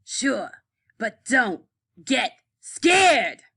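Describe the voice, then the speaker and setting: Woman putting on a raspy, sassy girl monster, Cynthia. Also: fairly high pitch, big pitch swings, drawn-out words, pushed and bright, exaggerated voice. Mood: showing off. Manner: sassy, snappish. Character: brash, touchy, slimy girl monster named Cynthia. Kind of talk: picture-book read-aloud.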